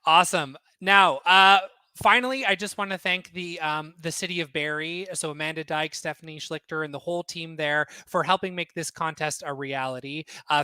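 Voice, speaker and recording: A man's voice: a little high, pushed and bright, clear, resonant tone, dry recording. A man hosting an event with an informal, appreciative thank-you speech. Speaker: host, event organizer.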